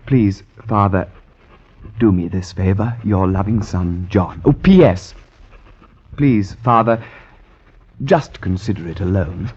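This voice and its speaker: An actor playing a meek, gullible young husband and unhappy soldier, hesitant and plaintive, in an old-time radio drama. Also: male voice, low voice, lively intonation, takes it slowly, pauses often, a little mellow, breathy edge, full character voice. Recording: dull, lo-fi old radio recording.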